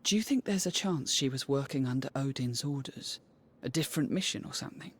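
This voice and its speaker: A woman playing a calm, inquisitive companion warrior in a video game, speaking in a thoughtful, plain, questioning way. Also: very deep voice, fairly quick, breathy edge, exaggerated voice. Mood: curious, thoughtful. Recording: music under the voice.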